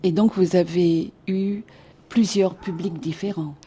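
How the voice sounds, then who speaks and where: A woman's voice: on the low side, fairly even intonation, unhurried, warm tone, slightly rough. A woman hosting a radio interview, presenting her guest and putting questions.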